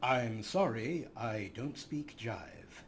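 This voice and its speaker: A man's voice: mid-range voice, expressive, takes it slowly, gravelly, noisy background. A man hosting a playful let's play, with a wry, mock-grandiose way of commenting. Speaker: gaming video host.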